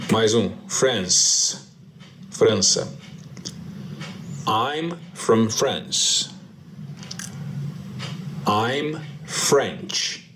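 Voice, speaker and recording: Man, brazilian portuguese accent, deep voice, slow repeated drill phrases, faint noise behind. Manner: models short answers slowly and repeats them for practice. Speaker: English teacher. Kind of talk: slow drill practice lesson.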